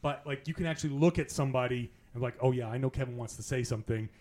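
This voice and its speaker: A man's voice: medium pitch, rapid-fire, darkish tone. A man hosting a casual podcast in person, chatty and laughing often, with frequent restarts. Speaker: podcast host. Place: in-person recording in Las Vegas.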